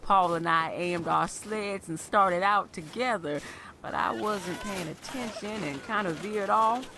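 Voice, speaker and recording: A woman's voice: medium pitch, a little slow, noisy background, close to an everyday voice. A woman playing a folksy older country lady who wryly recounts her childhood in an audio drama. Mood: reminiscing. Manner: folksy, wry storytelling.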